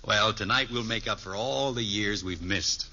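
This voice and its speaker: A man's voice: on the low side, normal speed, natural voice. A man playing an amiable, slightly flustered middle-aged father in a 1950s radio sitcom. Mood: amiable, fussing. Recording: muffled, hissy old radio recording.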